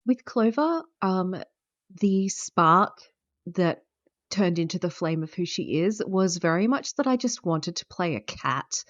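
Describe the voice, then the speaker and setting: A woman answering interview questions in a casual, thoughtful way, often pausing with "um". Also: on the low side, animated, no room sound, muffled recording. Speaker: guest player.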